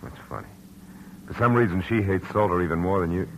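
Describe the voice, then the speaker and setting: A hard-boiled private eye with a very low, gravelly-dark voice and clipped, tough delivery. It comes from an old radio broadcast with faint hiss under it. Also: male voice, fast talker, rough recording. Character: hard-boiled male private detective, tough and world-weary. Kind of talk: 1940s radio acting.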